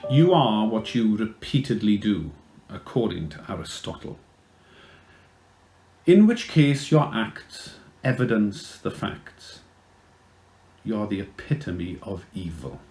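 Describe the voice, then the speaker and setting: Male, Welsh accent, low gravelly voice, theatrical poetry recital, deliberate delivery. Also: big pitch swings, a little mellow, faint music behind. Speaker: poet.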